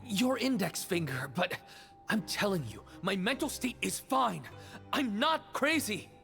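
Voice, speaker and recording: A man's voice: high voice, very animated, a little slow, creaky, fairly bright tone, soft background music, exaggerated voice. An actor playing a frantic male inmate in a video game, pleading insistently to be believed. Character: frantic male prison inmate insisting he saw his double.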